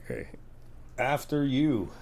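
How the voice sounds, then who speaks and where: A middle-aged man in an ASMR friend roleplay, talking in an easygoing, reassuring, chatty way with soft laughs. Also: medium pitch, big pitch swings, takes it slowly, vocal fry, slight background noise. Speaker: ASMR roleplay creator playing a best friend.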